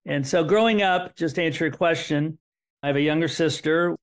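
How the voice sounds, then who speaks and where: A man's voice: fairly high pitch, brisk. A middle-aged man, whose was Hebrew, telling a family story as an interview guest in an earnest way that repeats words and restarts. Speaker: interview guest, son of survivors. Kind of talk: conversational storytelling.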